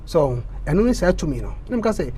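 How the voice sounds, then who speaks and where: A man with a Ghanaian accent, a council candidate giving an impassioned interview, stammering through repeats and rising into loud preaching bursts. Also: fairly high pitch, sing-song, fast talker, a little hiss or hum.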